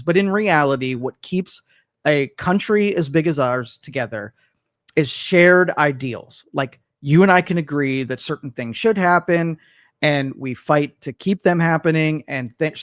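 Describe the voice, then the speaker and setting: A high-pitched man talks through his political views on a studio talk show. He rambles and argues, restarts his sentences and acts out mock quotes.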